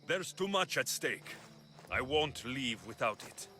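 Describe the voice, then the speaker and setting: A man's voice: mid-range voice, very animated, a little slow. An actor playing a determined male adventurer hero in a video game, firm and terse in his delivery. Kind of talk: video game cutscene dialogue. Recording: loud music behind the voice.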